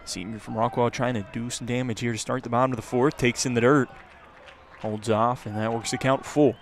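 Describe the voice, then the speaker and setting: A man's voice: medium pitch, steady tone, a little fast. A man calling a baseball game live as a radio play-by-play announcer. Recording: outdoor ballpark crowd and ambient noise. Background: ballpark crowd.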